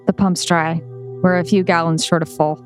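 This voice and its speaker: A woman's voice: on the low side, fairly even intonation, normal speed, faint music behind, close to an everyday voice. Woman acting a weary woman driver. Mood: tired, worried. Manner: weary, understated. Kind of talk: acted screenplay read-through.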